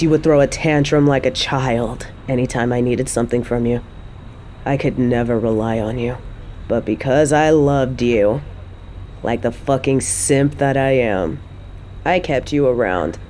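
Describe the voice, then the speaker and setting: A woman's voice: deep voice, mellow. Woman acting a defiant, fed-up ex-girlfriend, background noise. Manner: confrontational, scornful, emphatic. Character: fed-up, defiant mother confronting her toxic ex. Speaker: audio roleplay performer. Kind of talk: dramatic improvised audio roleplay monologue.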